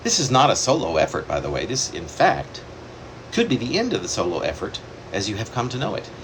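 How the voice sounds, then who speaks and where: A man reading his own poem aloud in a measured, reflective way, pausing deliberately between phrases. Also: lowish voice, rough recording. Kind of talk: poetry reading. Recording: steady air-conditioner hum behind the voice.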